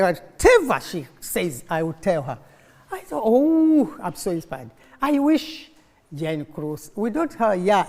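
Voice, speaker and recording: Mature female conference speaker, Ugandan accent, native Luganda, unhurried delivery, animated storytelling in a reverberant hall. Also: mid-range voice. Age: middle-aged or older. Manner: animated storytelling, prompting listeners with questions. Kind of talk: informal conference speech with audience call-and-response. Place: conference hall, live talk to an audience. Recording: echoey hall, long reverb.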